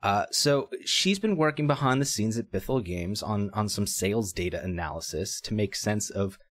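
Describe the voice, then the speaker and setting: Male podcast co-host, low voice, dry close mic, jokey and rambling. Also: lively intonation, normal speed, fairly bright tone, no room sound. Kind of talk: casual podcast chat.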